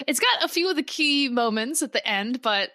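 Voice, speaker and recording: Bright, lively American woman chatting. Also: high voice, moderate pace, no room sound, studio quality. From United States. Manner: playful, goofy. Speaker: podcast co-host. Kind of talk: casual chat.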